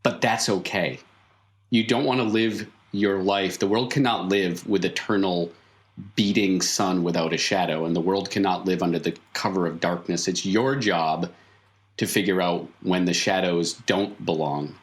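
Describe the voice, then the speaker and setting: A man playing a cryptic, stern clown mentor in a tabletop game, speaking solemnly in emphatic, measured statements. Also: low voice, fairly even intonation, close to an everyday voice. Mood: solemn, instructing.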